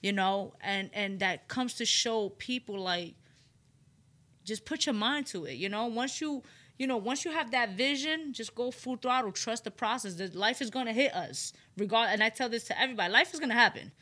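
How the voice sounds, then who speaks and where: A woman's voice: on the high side, normal speed, sharp tone. A woman hosting a casual interview, speaking in an encouraging, slangy way with frequent "you know". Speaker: interview show host.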